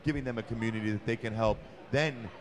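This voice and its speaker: A man's voice: mid-range voice, steady tone, fast talker. A man co-hosting a talk show, asking long, rambling questions in a chatty way. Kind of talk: casual interview talk.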